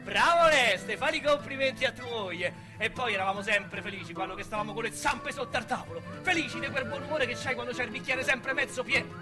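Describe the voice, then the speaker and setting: An actor with a Roman accent playing a boastful small-time male crook in a radio drama, talking in a colorful, slangy way that swings between loud bragging and hushed menace. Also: extremely high pitch, fast, hardly any pauses, music in the background. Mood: gleeful. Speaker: narrator-protagonist.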